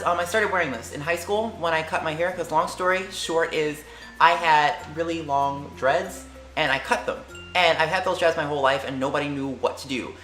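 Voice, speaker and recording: A woman's voice: on the low side, reverberant, rough recording. Teen female vlogger, chatty slangy delivery, faint music under. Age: late teens. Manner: chatty and lively, with slang and quick tangents. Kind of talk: casual chat answering tag questions.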